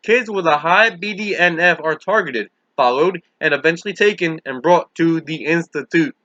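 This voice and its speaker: A man's voice: on the high side, normal speed, bright, forceful tone, dry recording, highs cut off. A man voicing a plainspoken, earnest housekeeper confiding what she knows in an audiobook reading. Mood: earnest, explaining. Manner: explanatory, confiding. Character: Maureen, lowly woman housekeeper confessing secrets, earnest and plainspoken.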